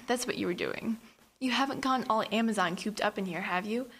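A woman's voice: medium pitch, brisk, a bit bright, husky. A woman playing a bubbly, chatty teenage girl in a radio horror drama, with girlish casual delivery.

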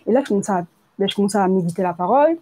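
Young woman, about 19, mid-pitched and softly dark in tone, telling her own story informally and haltingly. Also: lively intonation. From Congo, moved to France at age nine. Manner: hesitant, repeats words, colloquial youth phrasing. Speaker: person giving a testimony. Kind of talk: personal testimony, informal spoken storytelling.

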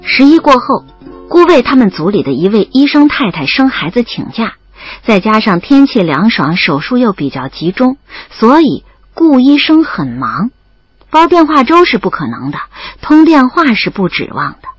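Give this to a lively young student narrating her love story in an audio drama. Her voice sits fairly high and swings widely in melody, with a slightly breathy edge. The sound is close, a bit dull, with music underneath. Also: normal speed, dry recording. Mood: wry, fond narration. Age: young adult. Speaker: narrator and lead actress.

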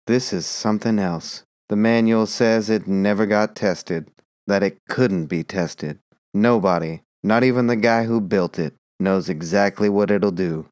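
A woman's voice: a bass voice, measured pace, a little mellow, no echo, clean studio sound, voice pushed down. A woman voicing a nervous man who speaks in an uneasy, earnest warning tone.

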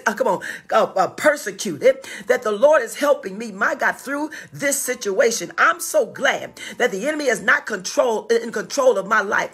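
A woman preaching a sermon with fervor, drawing out scripture quotes slowly and often breaking in with "my God" and "glory to God.". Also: mid-range voice, a bit bright, husky.

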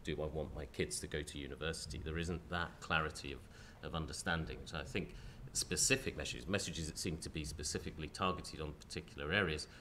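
Man chairing a panel, very low croaky voice with a cold, faint hiss behind, hesitant restarts. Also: fast talker, few pauses, sharp tone. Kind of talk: panel discussion. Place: lecture hall with audience.

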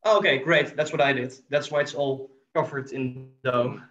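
A man's voice: medium pitch, fairly even intonation, moderate pace, fairly bright tone, highs cut off. A young man from the Netherlands chats casually on a video call. He is chatty and laughs easily. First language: Dutch. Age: young adult. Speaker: guest. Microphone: laptop webcam on a video call.

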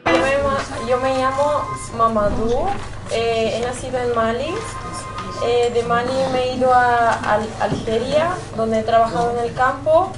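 A woman gives a short introduction to a life story. Her voice is fairly high and roomy, with saxophone music behind it. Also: steady tone, unhurried. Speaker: workshop participant.

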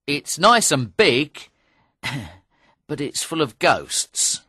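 Forceful, scheming medieval villain played by a man. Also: a little high, sing-song, very slow, slightly rough, no room sound, clean studio sound, full character voice. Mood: commanding, ominous. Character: angry, scheming knight and his gruff henchmen. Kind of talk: dramatized audiobook dialogue.

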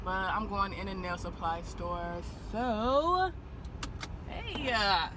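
A young American woman, a beauty vlogger, chatting casually to camera in a playful, slangy, expressive way. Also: medium pitch, slow pace. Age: young adult. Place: inside a car. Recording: car cabin noise.

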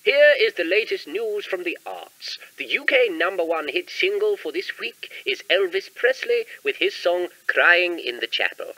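Bright, high-pitched 1960s British radio announcer. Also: male voice, wildly swooping pitch, normal speed, vocal fry, hardly any pauses, exaggerated voice, low-quality recording. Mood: formal, brisk.

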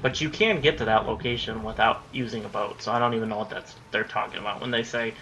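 A man's voice: on the low side, fairly even intonation, fast talker, bright, forceful tone, a little hiss or hum, poor audio. A young man in his late teens rambling casually about his recording plans while playing a game, swearing when things go wrong. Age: young adult. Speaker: gaming YouTuber. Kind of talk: casual commentary over gameplay.

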